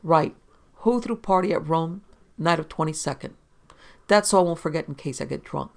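A man's voice: on the high side, moderate pace, gravelly. Man putting on a tipsy wisecracking newspaper reporter. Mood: playfully evasive. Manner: slack, joking, mock-innocent drunken banter. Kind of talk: pulp detective audiobook dialogue.

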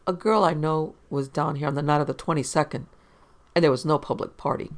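A man's voice: on the high side, fairly even intonation, brisk, close to an everyday voice. A man voicing a hard-boiled, impatient private investigator with curt, snapping demands in a pulp detective audiobook. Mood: confrontational.

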